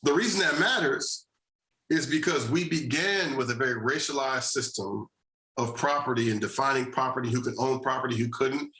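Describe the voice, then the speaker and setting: Bright-voiced man speaking deliberately. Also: mid-range voice, roomy sound, rough recording. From New Jersey. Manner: measured, deliberate, repeats key phrases. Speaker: social justice advocate, guest. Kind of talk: earnest policy discussion.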